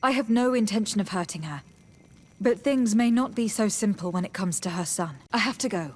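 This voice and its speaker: A woman playing a calm, diplomatic young noblewoman envoy in a video game, speaking in a measured, formal and firm way. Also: medium pitch, natural voice. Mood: composed. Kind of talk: video game dialogue acting.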